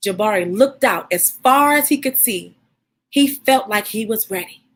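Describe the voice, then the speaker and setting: A woman hosting a children's storybook read-aloud, expressive and encouraging, speaking directly to young listeners like a teacher. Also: medium pitch, normal speed.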